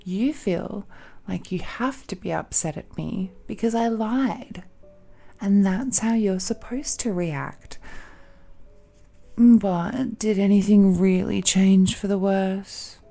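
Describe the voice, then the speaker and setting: A woman performs a smug, seductive immortal villainess holding someone captive in a romantic audio drama. She speaks slowly and languidly in a soft-edged, mellow tone, coaxing and condescending, with faint music behind her. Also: mid-range voice, vocal fry. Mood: knowing, persuasive. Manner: languid, coaxing, condescending, punctuated with hums and sighs. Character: possessive, seductive ancient supernatural villainess, smugly patient captor. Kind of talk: romantic villain audio roleplay, intimate monologue.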